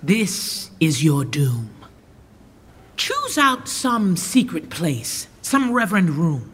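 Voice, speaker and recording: A regal new king in a radio play, speaking slowly and formally with wide, commanding swings of pitch. The voice rings in a reverberant hall. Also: wildly swooping pitch, a bit bright, breathy edge, exaggerated voice. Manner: measured, regal. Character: newly crowned king, stately, formal, commanding. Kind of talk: Shakespearean radio play acting. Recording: reverberant hall, faint noise.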